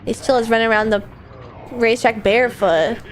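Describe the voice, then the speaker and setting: A woman's voice: on the high side, steady tone, fairly quick, a little hiss or hum. A young woman streaming a game while sick, chatting in a playful, giggly, offhand way. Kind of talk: casual gameplay chat.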